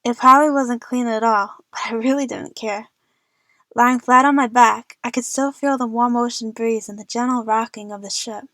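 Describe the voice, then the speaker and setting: A woman narrates a first-person story for an audio drama. Her voice is on the high side and recorded very dry, without room sound. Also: steady tone, normal speed, a bit bright, no echo.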